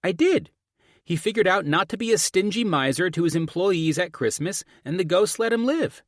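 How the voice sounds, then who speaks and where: A man's voice: sits high, animated, moderate pace, no echo, studio quality, voice pushed up. A man voicing a cheeky, sassy young daughter with a morbid streak in an audiobook. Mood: insistent. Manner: sassy, teasing. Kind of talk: audiobook character dialogue.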